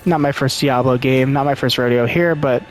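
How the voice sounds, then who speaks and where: A soft-spoken man giving casual let's-play commentary into a desktop microphone, thinking aloud with lots of ums and uhs. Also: mid-range voice, moderate pace, music in the background. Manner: rambling, thinking aloud with many fillers.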